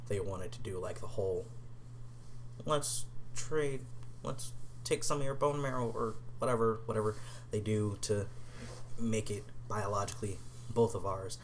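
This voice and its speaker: A man's voice: low voice, fairly bright tone, slight background noise. A young man in a casual vlog, answering tag questions in a hesitant way, with many ums and restarts, and sometimes dropping to a whisper. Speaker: vlogger.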